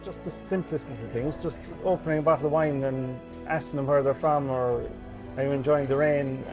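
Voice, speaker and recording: Cheerful restaurant manager, friendly, faint music bed. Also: male voice, mid-range voice, steady tone, brisk, low-quality recording. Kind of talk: interview.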